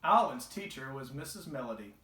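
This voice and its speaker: A man's voice: medium pitch, big pitch swings, brisk, bright, forceful tone. A man reading a children's storybook aloud as the narrator. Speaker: storybook reader. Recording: echoey, roomy home recording.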